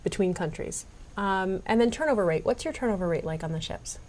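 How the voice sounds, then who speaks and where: A woman hosts a professional interview, asking questions in a conversational, engaged manner. Her voice sits at an ordinary middle pitch, and she often restates what her guest has said. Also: expressive, a little mellow. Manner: conversational, with quick laughs and affirmations.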